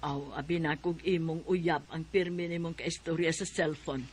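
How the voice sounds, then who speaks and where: A woman's voice: low-pitched, steady tone, moderate pace, a little hiss or hum, close to an everyday voice. A woman playing a teasing, nagging middle-aged mother in a radio drama, with a Filipino accent. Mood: nosy, teasing.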